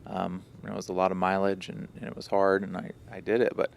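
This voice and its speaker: Gravelly, low-voiced male guest. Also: completely flat delivery, fast. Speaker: podcast guest. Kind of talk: casual interview chat.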